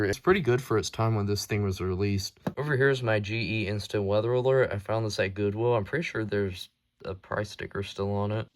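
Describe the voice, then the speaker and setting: A man presenting his hobby collection in a casual, unscripted show-and-tell, with a matter-of-fact, informal delivery. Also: lowish voice.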